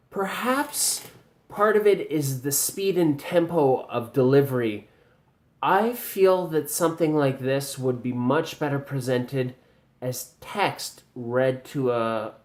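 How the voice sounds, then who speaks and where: A man with a Canadian accent giving a measured, teacherly video response on pronunciation, pausing often with ums. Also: medium pitch, a little mellow. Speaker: video commentator reviewing pronunciation. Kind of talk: slow spoken video response.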